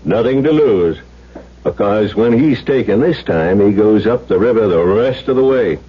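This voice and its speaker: Folksy lawman, husky, plainspoken, unhurried, radio drama, dull vintage sound. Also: lowish voice, expressive. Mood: grave. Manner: unhurried, plainspoken, friendly but serious. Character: folksy, steady small-town male sheriff. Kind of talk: old-time radio drama acting. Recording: dull old radio recording.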